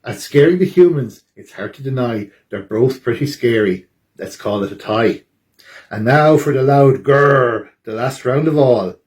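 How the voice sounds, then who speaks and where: Guy doing storytime, reading a rhyming kids book all dramatic, normal mid pitch voice. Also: expressive, unhurried, a little mellow. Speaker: library storytime reader. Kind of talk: expressive children's picture book read-aloud.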